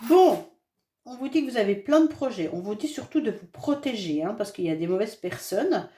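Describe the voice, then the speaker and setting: A woman's voice: medium pitch, lively intonation, a little fast, dark tone, reverberant. Woman with a cold reading tarot cards. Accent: French. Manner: chatty, reassuring, many fillers and hesitations. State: has a head cold. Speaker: tarot card reader. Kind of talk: monthly astrology card reading.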